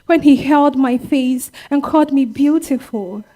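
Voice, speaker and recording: A woman's voice: high-pitched, measured pace, warm tone, rough recording. A woman with a Ghanaian accent playing an emphatic, wronged young wife and mother in a dramatized stage reading. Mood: tender, wistful. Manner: emphatic, exclamatory, with Ghanaian interjections. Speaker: stage actor. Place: live staged reading at a festival.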